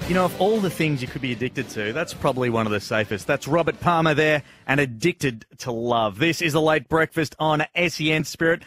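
Higher-pitched Australian male radio host over music. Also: a little fast, few pauses, a bit bright. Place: small radio studio. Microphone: close studio broadcast mic on boom arm.

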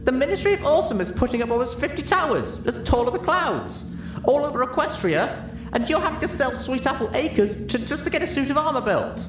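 Audiobook role: indignant pegasus pony, female actor, high and animated, distant roomy sound over music. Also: fairly quick. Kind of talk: audiobook character dialogue.

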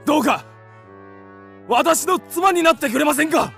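A man's voice: extremely high pitch, lively intonation, moderate pace, vocal fry, fairly bright tone, soft background music, full character voice. A man playing a blustering, hot-headed creditor given to impulsive declarations in a theatrical radio drama. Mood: ardent.

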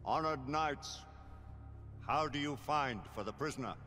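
An actor playing a stern lord chancellor passing judgment. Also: male voice, medium pitch, measured pace, bright, forceful tone, soft background music. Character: stern male lord chancellor, judge of the order. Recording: long-reverb hall in cutscene audio.

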